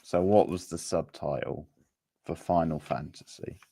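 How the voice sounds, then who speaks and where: A man casually hosting a live quiz, reading out questions, options and scores in a halting way. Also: low voice, fairly even intonation, creaky, mellow, husky. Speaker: quiz host.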